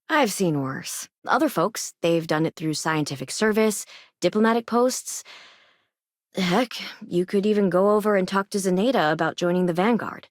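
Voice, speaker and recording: A woman acting as a caring but tired colony doctor in a space game. Her voice sits a little low for a woman and moves with lively, expressive inflection. She is matter-of-fact and conversational, recorded very dry and close. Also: no room sound, close to an everyday voice. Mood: businesslike, dry. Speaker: video game voice actor. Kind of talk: scripted game dialogue lines.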